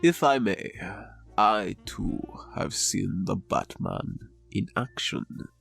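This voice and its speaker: An actor in an audio drama playing a pretentious, theatrical crime boss who tells tall tales in grandiose, formal language. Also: male voice, on the low side, very animated, takes it slowly, creaky, a bit bright, faint music behind, exaggerated voice. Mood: pompous storytelling. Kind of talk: scripted audio drama acting.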